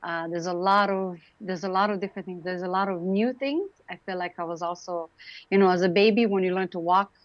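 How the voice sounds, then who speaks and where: A woman's voice: fairly low pitch, steady tone, a little fast, dry recording. Guest: woman, late 40s, Romanian accent, chatty with fillers, dry dull video-call sound. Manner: warm, chatty, laughs often, many fillers. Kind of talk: casual interview talk.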